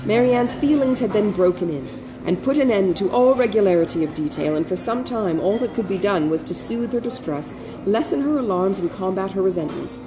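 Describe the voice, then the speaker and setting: A woman reading literary narration as an audiobook narrator, with expressive, careful delivery. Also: mid-range voice, a little fast, poor audio.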